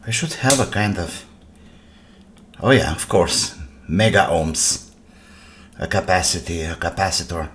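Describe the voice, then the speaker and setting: A man's voice: low-pitched, lively intonation, a little slow, pauses often, fairly bright tone. A man giving casual hands-on commentary as a repair video host, talking to himself in short phrases and asking and answering his own questions. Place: indoor workbench. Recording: clear background noise with workbench clatter.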